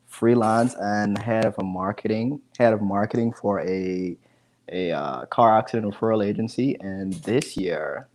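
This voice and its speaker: A man's voice: lowish voice, a little mellow. A man, chatting casually as an interview guest on a video call from home, often repeating words as he goes. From the Bahamas. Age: 20s. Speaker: guest, digital marketing specialist. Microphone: earphone headset.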